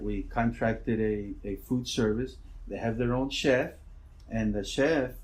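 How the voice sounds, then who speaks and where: A middle-aged man with a naturally loud voice, a school principal answering interview questions in a relaxed, rambling way. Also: mid-range voice, rough recording.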